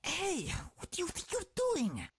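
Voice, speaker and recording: A man's voice: very high-pitched, wildly swooping pitch, a little slow, exaggerated voice. Man voicing a snide, swooping talking cat. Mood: indignant. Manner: snide, needling. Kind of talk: visual novel voice acting.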